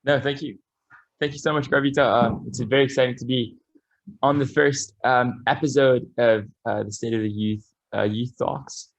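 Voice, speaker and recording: A man's voice: medium pitch, fairly even intonation, moderate pace, gravelly, dull audio. A man in his twenties from Bali, talking eagerly as an interview guest, with many ums and restarts. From Bali, Indonesia. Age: 20s. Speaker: guest environmentalist. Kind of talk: casual interview talk.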